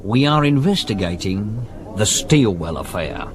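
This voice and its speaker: A man's voice: lowish voice, sing-song, unhurried. A British man playing a dry, forthright Victorian London policeman in a 1970s radio drama. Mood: persuasive.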